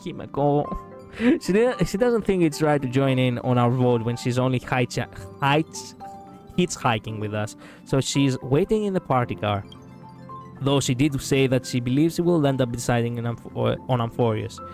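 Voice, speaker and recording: A man with a raspy voice streaming a game, chatting casually in a rambling way, laughing often and swearing. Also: medium pitch, lively intonation, moderate pace, darkish tone, soft background music. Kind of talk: casual gaming stream chat.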